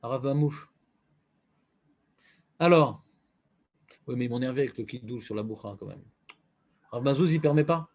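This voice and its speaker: A man's voice: mid-range voice, normal speed, creaky, pauses often, a little mellow, highs cut off. A man teaching an informal religious class, bantering and joking with his students.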